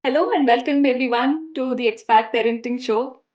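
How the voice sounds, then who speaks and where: Podcast host: woman, slightly high voice, conversational interviewing. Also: a little fast, darkish tone, lo-fi sound.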